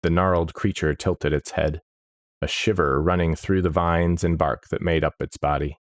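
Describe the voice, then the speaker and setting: A man narrating a dark fantasy story in a measured, grave manner. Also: rumbling low, fairly even intonation, no echo. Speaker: audiobook narrator.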